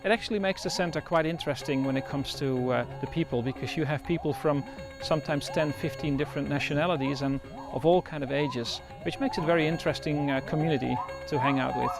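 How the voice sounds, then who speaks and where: Male center director, gravelly tone, measured and hesitant delivery, music bed underneath. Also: fairly high pitch. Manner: thoughtful, frequent pauses and restarts. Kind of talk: interview.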